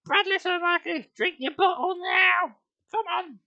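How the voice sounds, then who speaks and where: A man voicing a young mother who fusses over her baby in a sing-song falsetto. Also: a little slow, creaky, bright, forceful tone, dry recording, highs cut off, full character voice. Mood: cooing. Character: cooing young mother fussing over her baby, patient then sharp.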